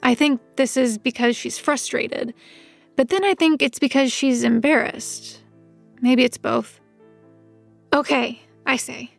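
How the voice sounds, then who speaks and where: A woman playing a world-weary, wry first-person narrator in an audio drama, with measured literary delivery. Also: sits high, gravelly, faint music behind, heavily acted. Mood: wry, reluctant. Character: world-weary, recovering-alcoholic woman narrator, reluctant detective's helper.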